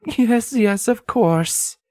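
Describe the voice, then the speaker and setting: Guy doing a careful wry girl pony, pitched way up, pragmatic and a bit formal, storybook character. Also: mellow, no echo, exaggerated voice. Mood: wry, amused. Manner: plain-spoken, measured, slightly formal. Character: cautious pragmatic female pony, protective and wry.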